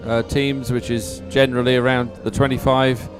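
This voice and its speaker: A man's voice: medium pitch, flat delivery, moderate pace. Guy doing live race commentary, chatty and a bit rambling, faint music behind him. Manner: rambling, hesitant, explanatory. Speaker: sports commentator.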